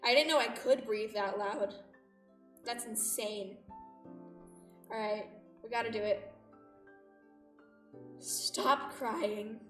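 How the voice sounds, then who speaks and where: A woman's voice: on the high side, slow, long pauses. A woman livestreaming a visual novel, chatting and reacting with playful, exaggerated drama. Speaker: game streamer. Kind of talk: livestream chat and read-aloud of a visual novel. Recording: roomy echo, distant mic, music behind.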